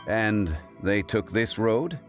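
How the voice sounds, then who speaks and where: A learned, dignified gentleman from a video game. He speaks low and formally, with deliberate, expressive phrasing and clear music behind him. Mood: calm, pondering. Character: composed, erudite gentleman, measured and dignified.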